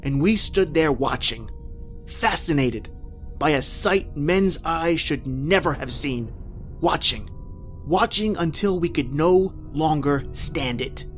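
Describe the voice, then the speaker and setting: A man's voice: fairly high pitch, lively intonation, takes it slowly, soft background music. Man acting an anguished, haunted radio horror narrator. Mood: haunted, horrified. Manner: melodramatic, intense, repetitive narration. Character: anguished married man trapped in prehistoric time, narrating his horror.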